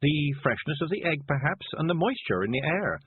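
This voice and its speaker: A man voicing a kindly, patient woman witch who explains gently, with a husky voice. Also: fairly high pitch, sing-song, brisk, pitched above the performer's own voice, exaggerated voice. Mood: explaining. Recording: dry tinny phone-like sound.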